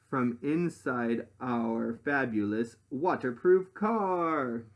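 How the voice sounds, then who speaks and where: A man voicing an eager, boastful boy inventor from a storybook, presenting his dream creation like an excited showman. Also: medium pitch, lightly acted. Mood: thrilled.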